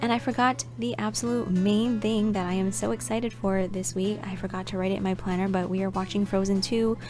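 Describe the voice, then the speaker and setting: A young woman narrates a casual voiceover in a chatty, scatterbrained way, often stopping to correct herself. Her voice sits at an ordinary middle pitch with a slight rasp, since she is coming down with a cold. Clear background music plays under her voice. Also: flat delivery, brisk. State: slightly raspy, getting sick. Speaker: planner vlogger.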